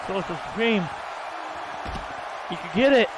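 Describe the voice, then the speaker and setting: A man commentating his own wrestling video game match in loud, excitable play-by-play, exclaiming and swearing at the big moves. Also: high-pitched, moderate pace. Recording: loud game crowd and noise behind.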